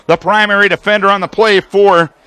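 High-pitched, bright-voiced American sportscaster man. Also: fairly even intonation, brisk, clear, resonant tone, dry recording. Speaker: radio play-by-play announcer. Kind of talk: live American football commentary.

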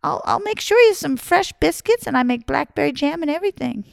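A woman's voice: high voice, lively intonation, a little fast, gravelly, no room sound, clean studio sound, voice pushed up, exaggerated voice. Woman putting on a sugary little old lady. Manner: quavery, hesitant, overly sweet. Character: sweet-sounding little old woman, scheming.

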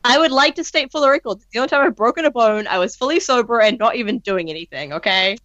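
A woman's voice: fairly high pitch, animated, quick pace, a bit bright, no echo, highs cut off. A woman co-hosting a casual movie commentary, playful and self-deprecating as she tells stories. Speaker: commentary co-host.

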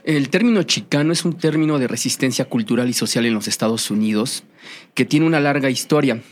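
A man from Mexico City speaks with a central Mexican accent at a middle pitch. He explains like an academic, formal and articulate. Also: fairly quick. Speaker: historian, interview guest.

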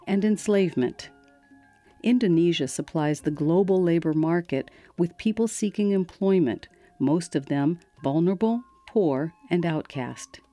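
A woman hosting a radio program, reading scripted narration in a measured, even way. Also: fairly low pitch. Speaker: radio host.

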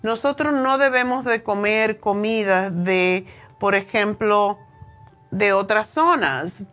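A woman's voice: medium pitch, slow, fairly bright tone, faint music behind. A woman from Cuba in her sixties with a Cuban Spanish accent, giving an informal, opinionated health talk with many hesitations. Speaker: nutrition show host.

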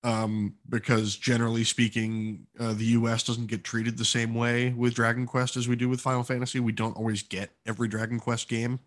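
A man's voice: mid-range voice, little pitch movement, a little fast, hardly any pauses. A man co-hosting a gaming podcast, chatting casually and informally with enthusiastic, opinionated remarks. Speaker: podcast co-host.

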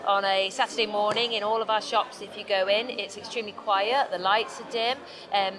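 A woman who is a company technology director, speaking at an event interview in a confident, clear, upbeat way. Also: medium pitch, steady tone, brisk. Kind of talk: conversational interview. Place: busy conference venue. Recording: busy crowd noise behind. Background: crowd chatter.

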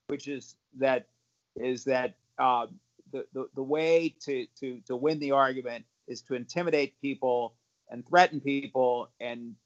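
A man speaking as a parent activist on a panel, halting, with many repeated words and restarts. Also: mid-range voice. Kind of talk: panel discussion remarks. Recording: muffled lo-fi audio.

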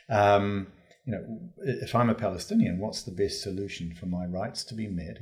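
A man with a low, dark-toned voice speaks as an interview guest. He works out his views as he goes, with halting, reworked phrasing in an unscripted discussion.

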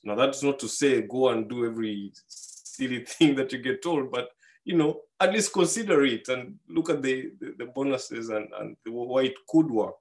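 A man giving money advice in a relaxed, rambling way, with fillers and restarts, lifting his voice for key points. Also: mid-range voice. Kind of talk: casual advice talk.

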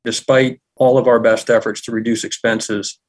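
A man's voice: mid-range voice, little pitch movement, normal speed. A man giving a formal spoken statement as a chief executive. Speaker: health system chief executive.

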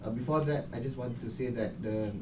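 A man's voice: mid-range voice, fairly quick, noisy background. A young man from Malaysia, a student, asking a question from the audience, hesitant with many restarts. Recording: distant audience mic in an echoey hall.

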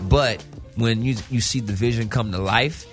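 A man talks as a guest in a casual radio interview. His voice sits at an ordinary pitch and his talk is slangy and emphatic, circling back on phrases for weight. Music plays faintly underneath. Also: normal speed. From South Central Los Angeles, California. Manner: emphatic, slangy, repeats phrases and checks in with listener. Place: radio show studio.

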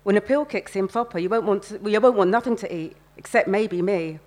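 A woman's voice: mid-range voice, a little fast, a little mellow, close to an everyday voice. A woman voicing a confident, teasing teenage London girl with a breezy, knowing, colloquial manner in a novel reading.

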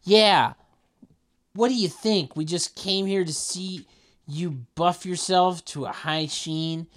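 A man's voice: high-pitched, takes it slowly, fairly bright tone, voice pushed up. A man voicing a pushy, teasing sitcom teammate in an amateur table read.

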